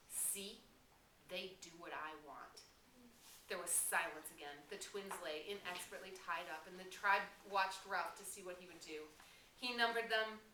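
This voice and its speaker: A woman reading a novel aloud as dramatic, expressive audiobook narration. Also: mid-range voice. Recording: roomy, distant mic, faint noise.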